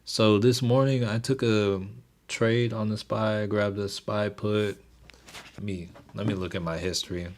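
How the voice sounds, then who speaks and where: Deep, soothing young man. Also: measured pace. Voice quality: soothing, even voice. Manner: laid-back, keeps delivery even, reads chat aloud. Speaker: stock trading livestream host. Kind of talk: casual livestream chat with viewers.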